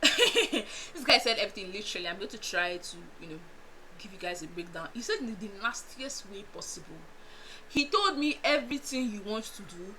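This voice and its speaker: A young Nigerian woman with a Nigerian accent, chatting casually to her viewers in an animated storytime vlog, laughing often and making asides. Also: medium pitch, moderate pace, slight background noise, low-quality recording. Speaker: vlogger.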